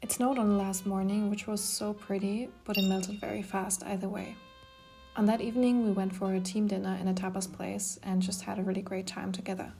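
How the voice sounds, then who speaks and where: A young woman giving a relaxed, chatty voice-over narration for her vlog. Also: medium pitch, a little fast, vocal fry. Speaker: vlogger, consultant. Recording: voice-over with background music.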